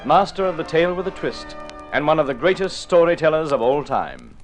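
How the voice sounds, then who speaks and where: A man's voice: on the high side, big pitch swings, a little fast, poor audio. A man narrates an old radio drama in a formal, theatrical storyteller's style, with an Australian accent. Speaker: narrator and presenter. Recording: vintage radio recording with music.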